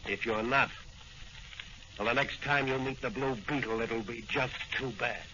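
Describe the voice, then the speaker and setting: An actor playing a tough, commanding masked crime fighter with terse delivery, in 1940s radio drama style. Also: male voice, mid-range voice, fairly even intonation, moderate pace, highs cut off, close to an everyday voice. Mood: stern, commanding. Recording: noisy, band-limited old-time radio recording.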